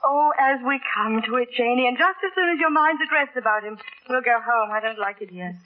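Actress as spirited young gentlewoman, witty, high-pitched, 1940s radio acting, faint noise. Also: lively intonation, a little fast, natural voice. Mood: wry. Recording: muffled, noisy old radio broadcast.

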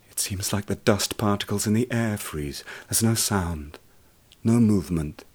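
A man narrating a first-person horror radio play, hushed and intense. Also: sits low, breathy edge. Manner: hushed and intense, rising to ecstatic. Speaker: narrator and protagonist.

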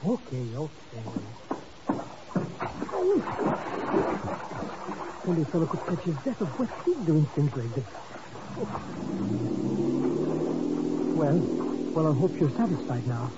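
A male actor playing a nervous, money-minded informant sidekick in a 1950s radio drama, stammering and excitable. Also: fairly high pitch, fairly quick, natural voice. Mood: nervous, grumbling. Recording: noisy, dull old radio recording.

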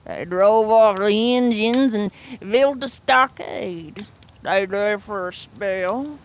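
A woman acts out a very old, cunning mountain crone with an old rural drawl. She speaks slowly and teasingly, in a mid-pitched, weathered voice. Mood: sly. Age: older. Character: ancient mountain crone, cackling and sly.

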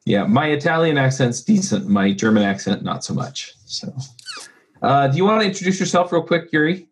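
A man's voice: medium pitch, sing-song, normal speed, breathy edge. A man hosting a tech podcast, chatty and friendly, who laughs easily. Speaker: podcast host.

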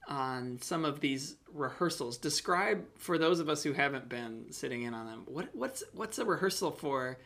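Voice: mid-range voice, animated, normal speed, creaky, few pauses, a bit bright. A podcast host interviewing casually, thoughtfully working out comments and questions while speaking.

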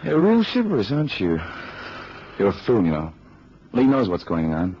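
An actor playing a bold, sarcastic captive in a radio drama, taunting and defiant. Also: male voice, lowish voice, huge pitch swings, moderate pace. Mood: defiant, scornful. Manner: sardonic, taunting. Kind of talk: 1970s radio drama acting. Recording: noisy, dull old radio recording.

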